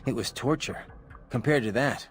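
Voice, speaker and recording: An actor playing a brooding, cynical cyborg mercenary in a video game, gruff and terse. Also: male voice, medium pitch, moderate pace, slight background noise, lightly acted. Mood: wry, reflective. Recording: music behind.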